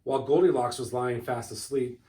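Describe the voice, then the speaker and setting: A man reading a children's storybook aloud in a deliberate, expressive way, recorded from a distance. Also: mid-range voice. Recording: distant microphone.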